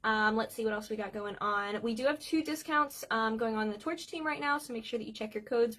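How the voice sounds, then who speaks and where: A woman's voice: on the high side, a bit bright, breathy edge. Chatty woman losing her voice. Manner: chatty, many ums, reads out viewer comments. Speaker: cake decorating livestream host. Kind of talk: casual livestream chat.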